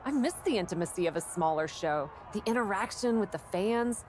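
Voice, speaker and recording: A confident female pro wrestler with a wry streak , acted by a woman for a video game. Her voice sits at an average pitch and sounds self-assured and determined. There is background noise around the dialogue. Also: lively intonation, a little fast, close to an everyday voice.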